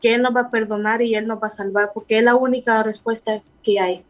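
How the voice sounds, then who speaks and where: Young woman, halting speech. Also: a little high, steady tone. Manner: halting, searching for words, apologizes for her Spanish. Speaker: singer and bassist of a worship band. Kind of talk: interview guest.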